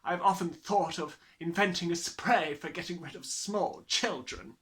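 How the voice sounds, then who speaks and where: A reader voicing a huge, bullying female headmistress with a booming, contemptuous delivery, pitched well above the reader's own voice. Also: measured pace, fairly bright tone, natural voice. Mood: scornful.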